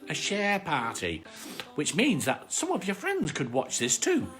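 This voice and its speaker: Children's storyteller puppet: chatty British armadillo played by a man, hugely animated mid-range voice, repeats himself fondly, faint music underneath. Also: on the high side, unhurried, heavily acted. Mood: cosy, chatty. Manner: cosy, chatty, repeats phrases. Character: friendly chatty armadillo puppet, long-suffering bedtime storyteller. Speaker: host of children's live story show. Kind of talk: casual children's livestream chat.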